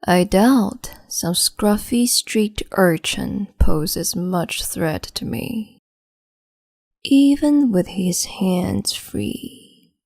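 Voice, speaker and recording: A woman voicing a cruel, capricious empress in an ASMR roleplay, speaking slowly and mockingly and slipping into whispers. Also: mid-range voice, lively intonation, gravelly, a little mellow, no room sound, clean studio sound. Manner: slow, mocking, condescending, drifts into whispers.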